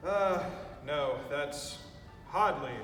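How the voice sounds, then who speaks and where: A young man playing a stuffy, old-fashioned gentleman who grumbles and sputters pompously in a stage play. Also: fairly high pitch, very slow, soft background music. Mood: flustered, indignant. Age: young adult. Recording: echoey stage hall from far off.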